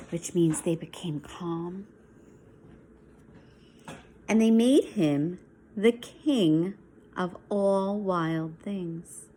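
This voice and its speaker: A woman teacher reading a picture book aloud to young children, expressively, with softer asides to explain it. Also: on the low side, slight background noise, poor audio. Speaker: schoolteacher reading to young pupils.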